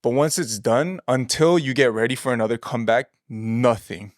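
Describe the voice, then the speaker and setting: A man who sings in a pop group, a guest talking in relaxed casual chat and explaining things at length. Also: medium pitch, normal speed, dry recording, studio quality. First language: English.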